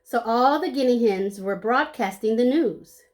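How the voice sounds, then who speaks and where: A woman's voice: on the high side, animated, measured pace, a little mellow. A woman reading a children's story aloud expressively, playing up the sound words and adding short asides to her listeners. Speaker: storybook reader.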